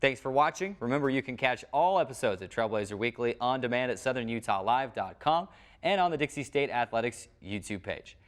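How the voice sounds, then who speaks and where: A man's voice: mid-range voice, lively intonation, brisk. A man presenting a sports show as its host.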